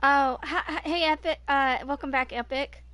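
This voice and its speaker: Casting: woman in her twenties, high bright voice, halting casual streamer chat. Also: steady tone, a little hiss or hum. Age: 20s. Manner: halting, repeats herself.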